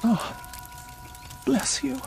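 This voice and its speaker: A woman playing an anxious, demanding elderly invalid in a radio drama, fretful and imperious, quick to plead. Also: darkish tone, faint music behind. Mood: relieved, grateful.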